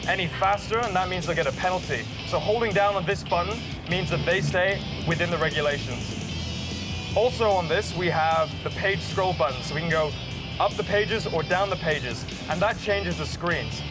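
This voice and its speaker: Male tech presenter, notably high voice, instructional delivery, clear music underneath. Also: normal speed. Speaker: presenter explaining race car technology. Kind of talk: explanatory presentation.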